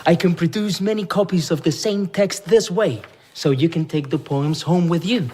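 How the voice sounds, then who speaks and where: A man's voice: fairly high pitch, moderate pace, dark tone, close to an everyday voice. An actor playing a polite, earnest, bookish young man, a traveller in a radio drama. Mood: eager.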